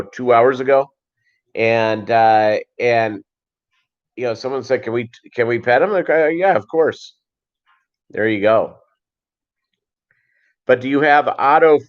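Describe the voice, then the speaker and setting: A man hosting a casual livestream, chatting and answering viewers in a rambling, halting, conversational way. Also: mid-range voice. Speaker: livestream host. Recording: dull, lo-fi livestream audio.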